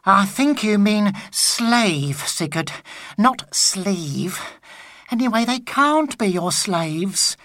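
A man voicing an exasperated, patient wife explaining things slowly in a raised voice. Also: high voice, expressive, bright, forceful tone, no echo, studio quality, pitched above the performer's own voice, heavily acted. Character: exasperated wrestling wife, patient but flustered.

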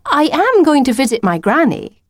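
A woman's voice: high-pitched, very animated, normal speed, dry recording, studio quality, voice pushed up. A woman voicing a stubborn, unimpressed schoolgirl in a children's story, with a firm, matter-of-fact manner.

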